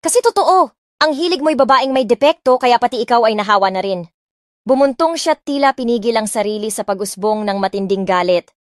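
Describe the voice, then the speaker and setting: A woman's voice: fairly high pitch, brisk, dry recording. A woman narrating a story in the first person as a spoiled, defiant rich young woman, reading expressively and mixing English lines into Tagalog. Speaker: audiobook narrator. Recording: dry close mic with soft music.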